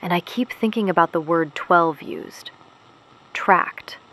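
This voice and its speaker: Woman playing resistance radio host, slightly low female voice, solemn, measured, reflective, dramatic scripted narration. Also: fairly bright tone, low-quality recording. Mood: haunted, pondering.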